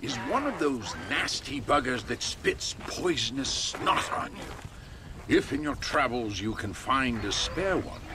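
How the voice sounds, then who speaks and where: A man's voice: mid-range voice, sing-song, slow pace, noisy background. An actor playing an eccentric male film director in a video game, speaking in a theatrical, fussy and gushing way. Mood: persuasive. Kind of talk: video game cutscene acting.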